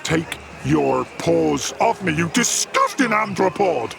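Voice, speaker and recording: A man's voice: on the high side, very animated, takes it slowly, gravelly, background noise, heavily acted. An actor playing a flustered alien military man in a comedic sci-fi audio drama, stammering and blustering as he talks. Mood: indignant. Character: alien military men, pompous blustering captain and downtrodden servile assistant.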